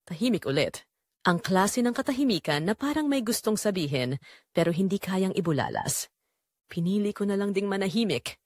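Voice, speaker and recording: Female Filipino narrator for first-person audio drama; lively, varied intonation, very dry close sound. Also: medium pitch, normal speed, dry recording.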